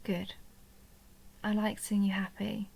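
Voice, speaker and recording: Vampire countess for intimate audio roleplay, played by a woman: soft and coaxing, near-whispered, creaky, narrow pitch movement, lonely and possessive. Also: level tone, slow. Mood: soothing, affectionate. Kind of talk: intimate roleplay audio drama, addressed to the listener.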